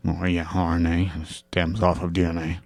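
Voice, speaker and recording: A man's voice: sits low, monotone, normal speed, gravelly, darkish tone, dry recording. A man co-hosting a podcast, explaining ideas at length in a rambling way with dry jokes in casual group chat. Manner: rambling, explanatory, dryly joking. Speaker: podcast co-host.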